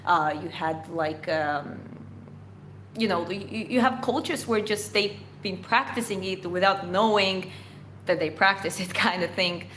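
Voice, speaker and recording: A woman's voice: fairly low pitch, a little slow, fairly bright tone, slight background noise. Georgian woman with an Eastern European accent. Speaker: livestream host answering chat questions. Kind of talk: casual Q&A chat.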